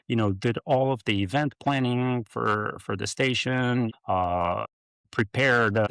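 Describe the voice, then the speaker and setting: A middle-aged man from Brazil recounts his career as a podcast guest. His voice sits on the low side and is recorded dry and close. He talks in a halting way, full of fillers and restarts. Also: no room sound. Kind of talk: interview storytelling.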